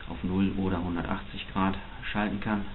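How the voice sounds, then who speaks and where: A man with a fairly low voice talks through an unboxing in an off-the-cuff way. He explains technical details like a hobby reviewer. The recording is narrow and phone-like, with some hiss. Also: little pitch movement, normal speed. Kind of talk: spontaneous unboxing commentary. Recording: muffled phone-like sound, clear hiss.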